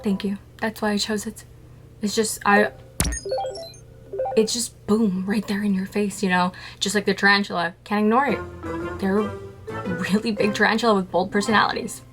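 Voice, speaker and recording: A woman vlogs in a casual, playful way, asking herself questions and then answering them with a grin in her voice. Her pitch sits in a middle range. Clear music plays under her the whole time. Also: level tone, normal speed, long pauses. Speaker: tarantula keeper vlogger. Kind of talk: casual scripted talk to camera.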